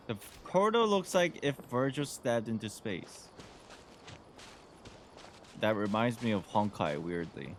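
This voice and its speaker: A man's voice: lowish voice, expressive, a little slow, pauses often, slight background noise. A man giving casual, slangy playthrough commentary as a game streamer, with muttered offhand reactions.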